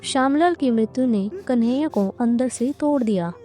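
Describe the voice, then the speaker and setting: A woman's voice: on the high side, lively intonation, moderate pace, warm tone, faint music behind. A woman narrating a scripted cartoon moral story as its storyteller.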